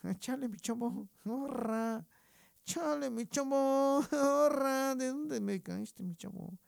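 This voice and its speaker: Brash barrio street tough played by a man, very high squeaky voice, slurred slang, loud. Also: takes it slowly, gravelly, smooth, dry recording, studio quality, heavily acted. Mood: mocking. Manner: slangy, shrill, mocking.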